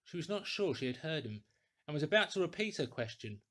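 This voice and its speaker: A man reading a classic story aloud in a deliberate, theatrical, clearly articulated way. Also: on the high side, big pitch swings, fairly bright tone. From England. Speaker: audiobook reader, actor. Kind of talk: dramatic scripted storytelling.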